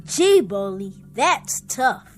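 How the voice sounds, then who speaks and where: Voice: medium pitch, big pitch swings, slow. A young performer playing an earnest, devoted little boy, eager and insistent, in a vintage television drama. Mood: concerned. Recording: archival TV soundtrack with music.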